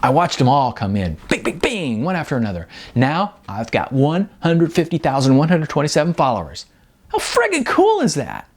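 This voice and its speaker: Man acting cocky, excitable young musician dude, animated. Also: on the high side, normal speed, voice pushed up. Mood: giddy bragging. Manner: slangy, boastful, exuberant.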